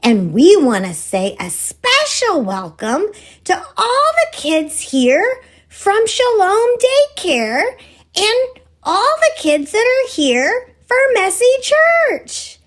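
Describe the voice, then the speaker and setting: Lady doing a high excitable puppet critter for kids story time, slow sing-song, super swoopy. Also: full character voice. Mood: excited. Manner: exaggerated sing-song, simple words.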